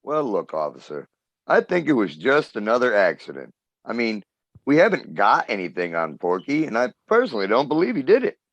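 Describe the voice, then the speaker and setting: A man's voice: mid-range voice, huge pitch swings, a little fast, a bit bright, dry recording, dull audio, exaggerated voice. A man playing a brusque, impatient oil crew boss in an old-time radio drama, bossy and blunt in colloquial talk. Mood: reasoning, insistent.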